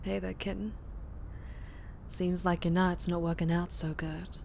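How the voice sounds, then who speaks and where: Slow Southern vampire biker woman, acted out by a woman. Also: fairly low pitch, little pitch movement, creaky, a little mellow, a little hiss or hum. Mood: soothing, flirtatious. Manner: teasing, protective, drawling. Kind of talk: intimate ASMR roleplay acting.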